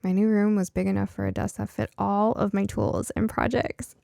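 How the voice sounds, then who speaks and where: A woman voicing a curious, science-minded girl who narrates her own story in a conversational, expressive storybook style. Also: medium pitch, normal speed, creaky, no room sound, studio quality. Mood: chatty, wry. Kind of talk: first-person audiobook narration.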